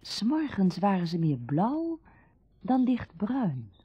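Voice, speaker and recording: An actress playing the storyteller of a radio drama, narrating a summer tale. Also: female voice, mid-range voice, big pitch swings, slow, husky, full character voice. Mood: calm storytelling. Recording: dull, roomy old radio recording.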